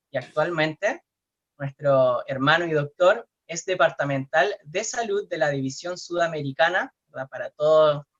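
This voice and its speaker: A man's voice: a little high, a bit bright, lo-fi sound. A man with a Chilean Spanish accent co-hosting an online forum, speaking hesitantly with many fillers and tag questions. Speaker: co-host.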